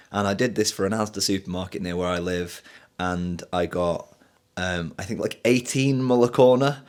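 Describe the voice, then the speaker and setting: A man from the UK hosting a podcast in casual chat, relaxed and quick to laugh. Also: sits low. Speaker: podcast host.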